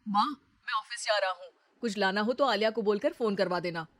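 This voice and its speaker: A woman's voice: mid-range voice, brisk, dry recording, lightly acted. A woman voicing an earnest young married man, a firm but caring son, in an acted story.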